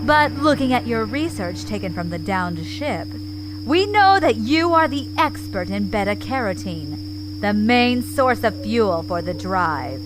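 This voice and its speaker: A woman acts out a scheming female fox commander in a space radio play. Her delivery is theatrical and unhurried, rising and falling a lot in a highish voice. She sounds menacing and bent on revenge. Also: lively intonation, noisy background. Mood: vengeful, gloating. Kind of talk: scripted audio drama acting.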